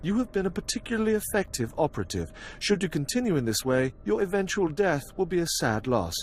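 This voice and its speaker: A man's voice: sits high, very animated, fast talker, slight background noise. Formal, detached young male analyst, swooping intonation. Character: eerily clinical young male engineered analyst. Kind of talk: video game cutscene acting.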